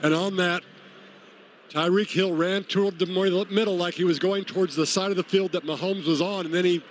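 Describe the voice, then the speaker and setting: A male football analyst with a moderately high voice, breaking down plays in an explanatory way. Faint stadium ambience sits behind his commentary. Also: steady tone, brisk, slight background noise. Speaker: color analyst.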